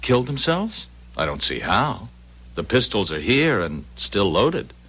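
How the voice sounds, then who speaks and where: Bright, husky, animated young husband. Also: male voice, fairly low pitch, unhurried, full character voice, poor audio. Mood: matter-of-fact. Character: eager, reassuring young husband, flustered time traveler. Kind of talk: old-time radio drama acting.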